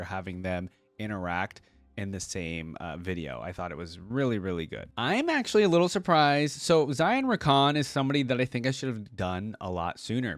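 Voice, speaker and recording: Low-voiced man, casual reaction host. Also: no echo. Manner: conversational, rambling. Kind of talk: casual commentary to viewers.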